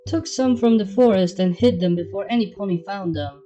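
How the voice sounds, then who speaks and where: A woman's voice: medium pitch, brisk, mellow, highs cut off, natural voice. A woman voicing a blunt, world-weary pony with a dry manner in a fan story reading. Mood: matter-of-fact.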